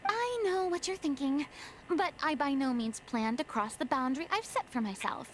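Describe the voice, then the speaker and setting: An actress playing a proud, theatrical young former stage star in a video game, speaking in eloquent, dramatic monologues. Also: female voice, high-pitched, animated, normal speed, a little hiss or hum, poor audio. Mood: grand.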